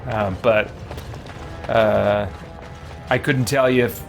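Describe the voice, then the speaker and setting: A man's voice: fairly low pitch, steady tone, takes it slowly, gravelly. Casual male streamer thinking aloud. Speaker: game streamer. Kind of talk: casual livestream gameplay commentary. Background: faint game music.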